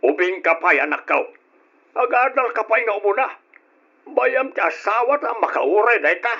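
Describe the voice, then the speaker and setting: A man's voice: sits high, expressive, moderate pace, sharp tone, pitched above the performer's own voice, full character voice. A man playing a stern older father who scolds his daughter and orders her suitor away, in an old radio drama on a dull lo-fi recording. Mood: scolding. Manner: scolding, commanding.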